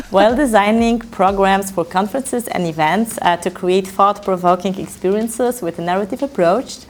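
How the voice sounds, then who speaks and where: A woman on an agency team, speaking in an interview in a measured, admiring way. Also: mid-range voice.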